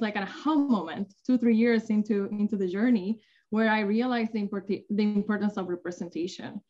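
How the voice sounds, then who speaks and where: A woman's voice: medium pitch, darkish tone. A young woman from the Dominican Republic giving an earnest introduction as a panelist in a webinar, with many fillers. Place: home office with bookshelves. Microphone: video call. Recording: muffled video call audio.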